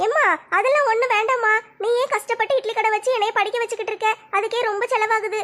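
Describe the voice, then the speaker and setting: A gentle teenage girl, voiced by a woman, pleads softly. Her voice is very high and slightly brighter than the performer's usual one. Her delivery is modest and coaxing. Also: steady tone, quick pace. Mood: pleading. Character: teenage daughter, modest and loving.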